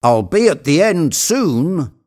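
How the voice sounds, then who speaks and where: A man's voice: fairly high pitch, big pitch swings, slow pace, darkish tone, voice pushed up. A man voicing a reluctant, wary male servant, hesitant and protesting, in a storybook tale. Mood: breathless surprise.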